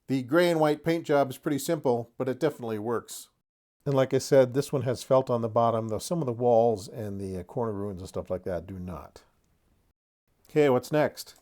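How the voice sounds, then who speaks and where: A man's voice: mid-range voice, moderate pace, a little mellow. A man hosting a casual tabletop product review, chatty and folksy, with lightly humorous asides. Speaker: host of a tabletop wargaming terrain review. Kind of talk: casual product showcase commentary.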